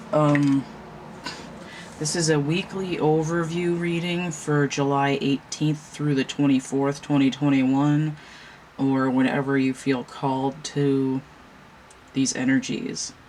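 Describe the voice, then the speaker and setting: A woman hosting a casual tarot reading vlog at home, talking in a halting, meandering way with a stuffy nose. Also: rumbling low, fairly even intonation, a little mellow, poor audio. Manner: halting, many fillers, slow and meandering. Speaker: tarot reader and channel host. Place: home room with a fan running. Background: fan noise.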